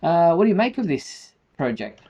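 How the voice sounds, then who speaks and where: A man's voice: fairly high pitch, very animated, normal speed, mellow. Man hosting a fan news show. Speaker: show host. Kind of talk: semi-scripted fan news presenting.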